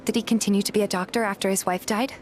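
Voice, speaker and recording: A woman playing a curious, polite young game heroine, narrating in the first person and speaking her dialogue in an earnest, gentle manner. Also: mid-range voice, steady tone, rapid-fire, faint music behind, lightly acted.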